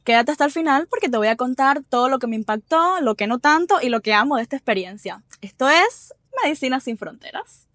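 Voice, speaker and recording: A woman's voice: high voice, expressive, fairly quick, a bit bright, dry recording. A woman with a Cuban accent hosting a vlog, chatting casually and expressively to the camera. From Cuba. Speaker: vlog host.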